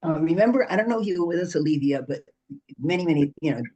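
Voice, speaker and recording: A man's voice: fairly high pitch, expressive, fast talker, mellow, lo-fi sound. A man on an arts panel telling a rambling anecdote, full of "so" and "you know". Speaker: panelist, arts organization president.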